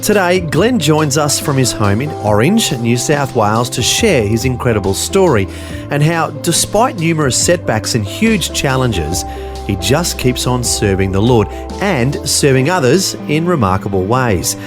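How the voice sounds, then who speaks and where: An Australian man hosting a radio show, announcing the episode in an upbeat, scripted style. His pitch moves in an animated way, and clear music plays beneath him. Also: a little slow. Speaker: radio host. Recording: music bed under the voice.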